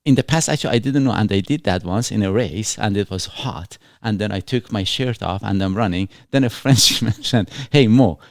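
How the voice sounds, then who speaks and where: A man's voice: on the low side, normal speed, vocal fry, few pauses, no echo, studio quality. A man from Iran with a Persian accent, hosting a casual chat and asking playful, probing questions. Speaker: host. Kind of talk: casual conversation.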